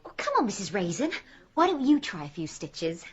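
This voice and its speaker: A placating, swooping village wife. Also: female voice, mid-range voice, very animated, brisk, husky, lo-fi sound. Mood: proud, placating. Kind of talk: radio drama acting.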